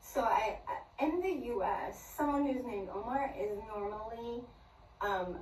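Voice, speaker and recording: Older woman, animated storyteller, acts out dialogue, phone video, roomy, distant, faint hiss. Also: on the high side, measured pace. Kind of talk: personal storytelling. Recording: roomy far-off clip with faint noise.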